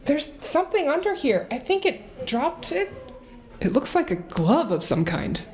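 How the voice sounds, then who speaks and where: An alert spaceship traveller, acted by a woman in a sci-fi audio drama. The voice is somewhat high, with animated, inquisitive inflection throughout. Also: unhurried. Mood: curious, alarmed. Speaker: voice actor. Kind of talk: science fiction audio drama acting.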